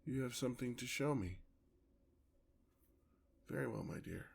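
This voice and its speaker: A man playing a courtly, hungry vampire lover in an ASMR fantasy roleplay, with formal, theatrical speech and a gravelly voice. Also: medium pitch, expressive, full character voice. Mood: remorseful, weary. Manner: theatrical, formal, drawn-out endearments. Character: courtly, hungry male vampire, tender yet predatory lover.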